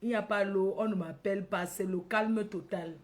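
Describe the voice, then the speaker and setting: A woman's voice: mid-range voice, steady tone, moderate pace, a little mellow. A woman from Cameroon with a Cameroonian accent hosting a casual livestream, addressing followers by name, repeating phrases and tagging on questions. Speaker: livestream host.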